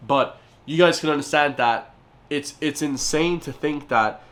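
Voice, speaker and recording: A man's voice: a little high, a little slow, a bit bright. Irish man, reaction host, chatty and emphatic with the camera audience. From Ireland. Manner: emphatic, keeps addressing viewers as guys. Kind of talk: casual reaction commentary.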